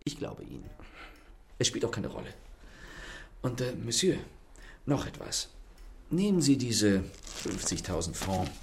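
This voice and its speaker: An actor playing a self-assured, courteous and mocking gentleman thief in a German radio play, with polished theatrical manners. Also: mid-range voice, sing-song, a little slow, pauses often, a little hiss or hum. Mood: smug, teasing.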